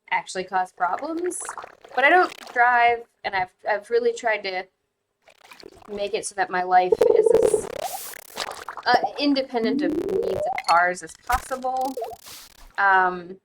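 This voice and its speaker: A woman's voice: medium pitch, heavy background noise. A woman from the United States chatting casually while playing a game on her stream, thinking aloud with fillers. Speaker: streamer and host. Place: home, living abroad.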